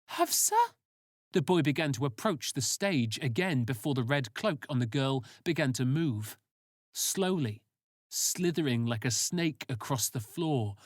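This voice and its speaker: Male audiobook narrator for sci-fi fiction, unhurried scripted delivery, dry close sound. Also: medium pitch, no room sound.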